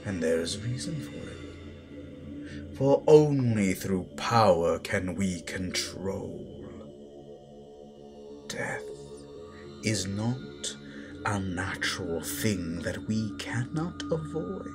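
Man playing a deep, slow, menacing Sith master. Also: animated, full character voice. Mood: grave, instructive. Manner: deliberate, grandiose, pausing for weight. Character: stern, menacing male Sith master teaching his apprentice. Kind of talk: dramatic audio roleplay monologue. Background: loud background music.

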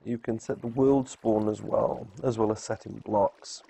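A man's voice: lowish voice, fairly even intonation, a little slow, vocal fry, dark tone, husky. A man giving a casual, unscripted video game tutorial, informal and a little hesitant as he thinks aloud. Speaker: gamer presenting a video walkthrough.

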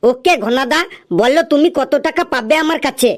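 Performed cartoon comic customer, high-pitched, wheedling, cheeky, teasing, mock-earnest delivery. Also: normal speed, natural voice. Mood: teasing, stalling. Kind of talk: cartoon comedy dialogue.

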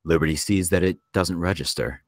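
A man narrating a screenplay at a table read in a measured storytelling delivery. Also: low-pitched, no room sound, clean studio sound. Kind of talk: scripted narration of stage directions.

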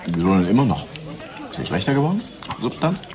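A man's voice: deep voice, huge pitch swings, quick pace, background noise. An actor playing a jovial, needling, cynical company man in a radio drama. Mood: teasing. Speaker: company colleague character. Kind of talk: German radio drama acting. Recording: crowd chatter behind the voice.

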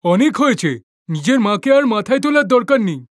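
Woman doing a bullying husband, swooping pitch. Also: very animated, a little fast, no room sound, studio quality. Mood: angry. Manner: gruff, scolding. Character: bad-tempered, bullying village husband.

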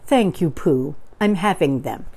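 A woman's voice: lowish voice, big pitch swings, slow, dark tone, full character voice. A woman voicing a gloomy old male donkey from a storybook in a mournful murmur.

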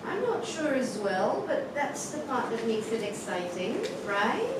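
A calm, gently teasing mother, played by a woman. She sounds far from the mic in an echoey theatre, with noise under her voice. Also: a little high, measured pace. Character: Malay mother, gentle, teasing, set on travelling. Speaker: actor in a staged reading. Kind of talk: staged play reading. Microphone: far camera, no visible mic. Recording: echoey hall from far off, noisy.

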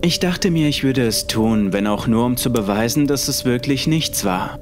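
A man's voice: mid-range voice, expressive, normal speed. A man narrating a scripted first-person horror story. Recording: music under the voice. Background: music.

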